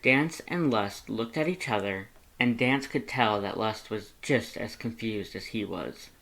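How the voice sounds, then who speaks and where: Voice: mid-range voice, unhurried, creaky, a bit bright, poor audio. A narrator reading a fan fiction story aloud from a script.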